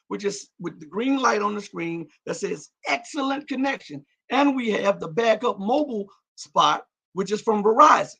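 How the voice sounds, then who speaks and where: A man's voice: sits high, sharp tone. A man hosting a live-stream show and reading out viewer comments. He talks emphatically, restarts and repeats words, and his voice cracks and breaks up at times. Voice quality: raspy.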